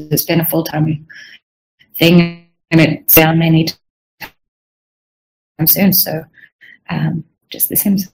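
Low, creaky-voiced young woman. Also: darkish tone, low-quality recording. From Sweden and Southern Africa. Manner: frequent throat clearing. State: strained voice, needing to clear throat. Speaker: UI and UX game designer being interviewed. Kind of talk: informal career interview, explaining own work.

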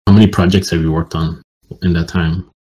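Deep, husky man asking questions. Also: level tone, a little mellow. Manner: halting, loosely phrased questions. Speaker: interviewer and Q&A moderator. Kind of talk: informal online Q&A hosting. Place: online group call.

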